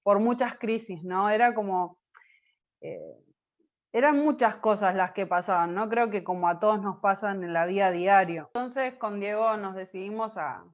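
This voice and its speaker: Soft-spoken Argentine woman, hesitant. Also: mid-range voice, moderate pace, dull audio. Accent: Argentine Spanish. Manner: hesitant, many fillers and restarts. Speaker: interview guest. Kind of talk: personal testimony in conversation.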